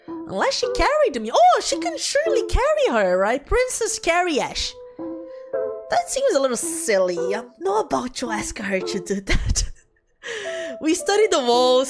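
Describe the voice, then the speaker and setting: Girl streamer reading a visual novel, super lively higher voice, slangy comments, music playing behind. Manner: playful, slangy asides. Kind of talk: visual novel read-aloud with casual commentary. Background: clear background music.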